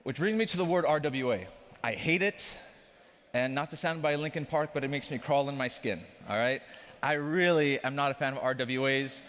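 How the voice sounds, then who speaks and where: A young man from Afghanistan talks on stage in a large conference hall. His voice sits at a medium pitch, and a stage mic carries it into a long hall reverb. He keeps the talk casual and joking, turning to the crowd directly. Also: normal speed, fairly bright tone. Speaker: conference speaker, company CEO.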